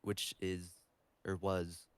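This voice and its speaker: Low, smooth-voiced male podcast guest. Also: completely flat delivery, drawn-out words, no echo. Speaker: podcast guest, competitive beatboxer. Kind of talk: casual interview answers.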